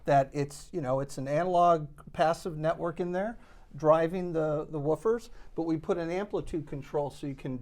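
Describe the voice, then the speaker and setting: A man with a somewhat high voice explains a product as an interview guest. His delivery is conversational and halting, often doubling back on the start of a phrase. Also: a little mellow. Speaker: loudspeaker company representative being interviewed. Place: hotel exhibit room at an audio show.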